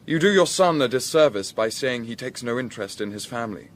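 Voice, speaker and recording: Period drama: polite, tenacious young gentleman sleuth, played by a man, mid-pitched, widely inflected, slight rumble behind. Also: animated, natural voice. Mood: firm, polite. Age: young adult. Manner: polite, measured, formal. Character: composed, tenacious young gentleman investigator, student of chemistry. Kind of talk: period audio drama acting.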